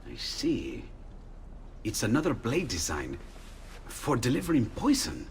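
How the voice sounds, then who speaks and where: An actor voicing a cheerful, curious inventor in a video game, speaking in an eager, chatty way. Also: male voice, medium pitch, wildly swooping pitch, measured pace, creaky, slight background noise, full character voice. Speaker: inventor friend.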